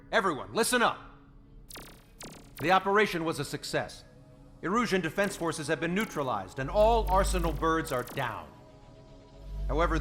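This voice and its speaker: A man's voice: a little high, a bit bright. An actor playing a resolute squadron commander in a video game, briefing his pilots in a firm, grave, rousing manner. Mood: commanding.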